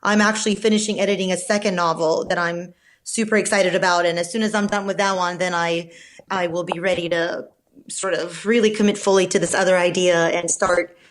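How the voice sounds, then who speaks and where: A woman from Romania, a novelist guest answering podcast interview questions in a thoughtful, measured way with frequent fillers and restarts. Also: on the low side, fairly even intonation.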